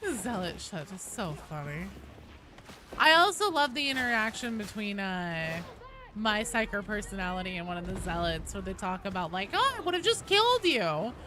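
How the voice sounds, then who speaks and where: A woman's voice: medium pitch, animated, normal speed. A woman game streamer chatting casually and conversationally over gameplay, with some swearing. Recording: noisy game audio behind the voice.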